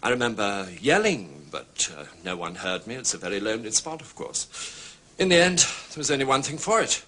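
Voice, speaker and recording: An actor playing an articulate gentleman artist who speaks precisely and emphatically, with a testy, indignant temper. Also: medium pitch, very animated, normal speed, slight background noise. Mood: dramatic recounting.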